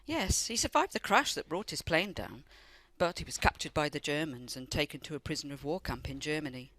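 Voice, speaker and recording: A woman's voice: sits low, lively intonation, fairly quick, a bit bright, low-quality recording. An actress in a radio play playing a loving, wistful elderly grandmother who tells family memories in a reflective way. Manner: reflective storytelling.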